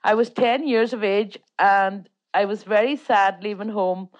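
A woman's voice: mid-range voice, unhurried, lo-fi sound. An older woman with a Donegal Irish accent, whose first language is Irish, telling stories of her childhood as an interviewee. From Tory Island, Ireland. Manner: plain storytelling, long chains of "and". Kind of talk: oral history reminiscence.

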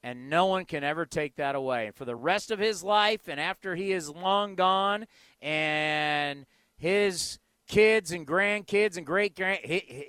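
A man with a high, clear voice hosts a sports radio show, talking in an impassioned, emphatic, conversational way. The sound is dry and close. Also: fairly bright tone, dry recording.

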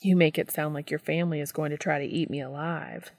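Woman playing a smooth confident guy, easygoing, kinda low and gravelly. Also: fairly even intonation, brisk, darkish tone, no room sound, clean studio sound. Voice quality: easygoing and self-assured. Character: calm, confident, charming young man.

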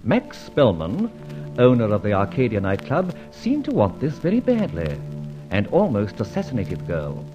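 An actor playing a suave, debonair gentleman adventurer in an old radio serial, urbane, wordy and teasing in a mock-formal way. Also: lowish voice, huge pitch swings, moderate pace, muffled recording, over music. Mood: wry, urbane.

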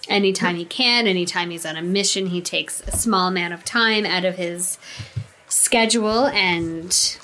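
A woman's voice: fairly low pitch, pushed and bright, a little hiss or hum. A woman telling her character's backstory as a tabletop game player, hesitant with many fillers. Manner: unhurried, hesitant, many ums.

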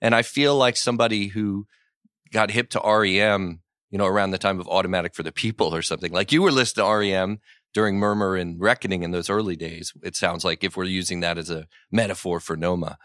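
Husky man fighting a cold. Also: deep voice, fast talker, no echo, studio quality. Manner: rambling, self-deprecating, animated. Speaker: guest, food journalist. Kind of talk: casual podcast conversation.

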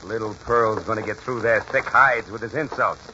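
An actor playing a stubborn, scheming baseball manager, bossy and commanding, in a 1940s radio comedy. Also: male voice, fairly low pitch, pushed and bright. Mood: confident, scheming. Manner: brisk, commanding. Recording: dull, noisy 1940s radio recording.

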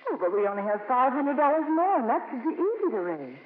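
An actress playing an earnest, gentle nun in a 1950s radio drama, hopeful and a little halting. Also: female voice, high-pitched, big pitch swings. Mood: dismayed. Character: earnest, hopeful nun raising money for her cause. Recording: old, lo-fi, hissy radio broadcast.

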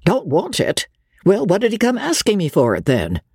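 A man's voice: high-pitched, wildly swooping pitch, moderate pace, gravelly, a little mellow, husky, dry recording, studio quality, voice pushed up, exaggerated voice. A man reading a novel aloud voices a stout, world-hardened middle-aged woman who speaks in a brusque, matter-of-fact way.

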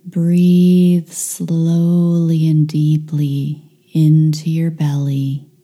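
A woman guides a meditation in a deeply soft, dark and relaxed voice. She speaks extremely slowly, leaving long pauses between short instructions. Her delivery is calm and soothing, with very little movement in pitch.

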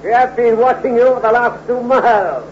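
An actor playing a cold, mocking mountain bandit who taunts and gives orders in a 1960s radio suspense play. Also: male voice, extremely high pitch, normal speed, slight background noise. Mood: mocking menace. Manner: taunting, commanding. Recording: muffled old radio recording.

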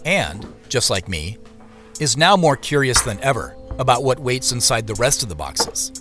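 An actor playing the inner narrating voice of a wary man, giving measured scripted narration in an audio drama. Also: mid-range voice, very animated, music in the background, close to an everyday voice. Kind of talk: scripted first-person present-tense narration.

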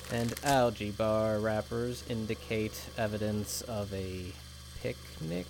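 An actor playing a cold, bureaucratic male investigator who dictates his report in a flat, clipped official manner, with a steady hum behind him. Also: fairly low pitch. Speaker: government agent. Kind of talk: audio drama acting.

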